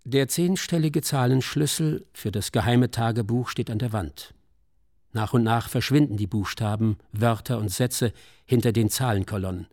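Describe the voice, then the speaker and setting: A man's voice: mid-range voice, moderate pace, a little mellow, dry recording, clean studio sound. A man narrating a scripted, literary radio drama.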